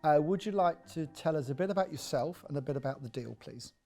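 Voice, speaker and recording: A British man chairing a panel of investors, talking off the cuff as he introduces and discusses pitches. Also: animated, fast, warm tone, soft background music.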